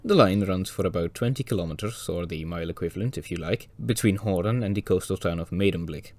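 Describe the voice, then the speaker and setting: Hoarse male narrator, deep-pitched, for a dry-witted documentary voice-over. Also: brisk, darkish tone. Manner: dry, wry asides. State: hoarse from a cold. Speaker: railway video presenter.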